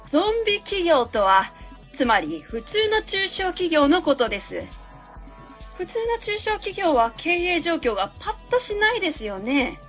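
High-pitched, overbearing woman consultant played by an actress. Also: very animated, unhurried, fairly bright tone, soft background music, exaggerated voice. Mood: dismissive, cold. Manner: didactic, asks and answers own questions. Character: overbearing female consultant lecturer, cold and self-assured. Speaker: actor in a web radio drama. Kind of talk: radio drama acting, delivering a presentation.

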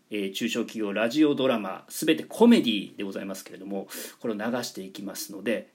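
A man's voice: mid-range voice, breathy edge. A man presenting a project announcement in a polite, explanatory manner, stressing key words. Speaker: presenter and organiser of a radio drama project.

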